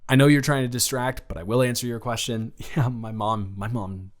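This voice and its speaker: A man's voice: medium pitch, steady tone, lightly acted. A young man playing a nervous guy confessing love to a best friend, rambling and playful, in an improvised romantic audio roleplay. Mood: nervous, teasing. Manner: halting, rambling, self-interrupting, chuckling.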